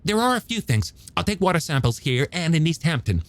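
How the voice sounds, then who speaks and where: A man's voice: on the high side, sing-song, fairly quick, pitched above the performer's own voice. A man playing an eager, bookish young male scientist in an audiobook, stammering a little as he explains things emphatically and testily. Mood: thoughtful. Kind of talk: audiobook character dialogue.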